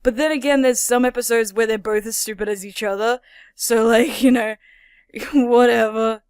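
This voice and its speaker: A woman rants casually to camera, swearing freely and getting animated as she goes. Her voice sits a touch high and sounds smooth and clear. The sound is dry and close to the mic. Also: normal speed, no room sound. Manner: profane, animated, keeps slipping into impressions. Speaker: YouTuber reviewing a childhood cartoon. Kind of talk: casual rambling commentary.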